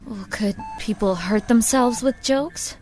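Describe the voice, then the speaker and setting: A woman's voice: fairly high pitch, a little slow, darkish tone, natural voice. A young woman playing an earnest, concerned, devoted granddaughter in an audio drama. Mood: curious, eager. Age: young adult. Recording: clear background noise.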